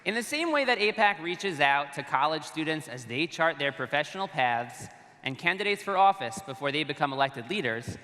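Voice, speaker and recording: Bright-voiced man rabbi, echoing hall. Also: fairly high pitch. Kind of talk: prepared speech to a conference. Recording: long reverb of a large hall.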